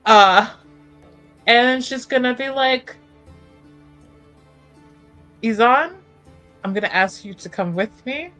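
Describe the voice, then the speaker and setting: A woman's voice: a little high, slow, pauses often, a bit bright, faint music behind. A woman playing a tabletop roleplaying game, talking casually and improvising what her character does.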